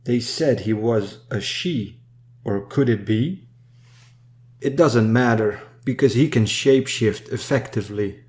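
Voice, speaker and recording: A man reading a dark narrative poem in a solemn, measured storytelling manner. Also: mid-range voice. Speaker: poem reader. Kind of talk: solemn scripted poetry recitation.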